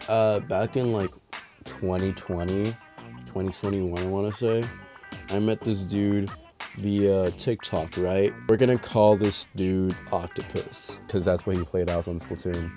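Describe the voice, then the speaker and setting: Young man, nasal from a cold, lowish voice, rambling and swearing casually, faint music behind. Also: steady tone, measured pace. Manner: rambling, profane, self-deprecating storytelling. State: sick, nasal. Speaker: storytime vlogger. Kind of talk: casual storytime vlog. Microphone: dedicated voice mic.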